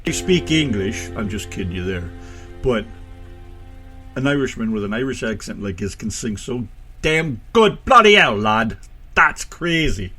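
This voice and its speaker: An American man co-hosting a radio show, chatting casually and joking, his voice jumping high and low. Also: very animated, measured pace, bright, forceful tone, faint music behind. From United States. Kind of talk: casual banter.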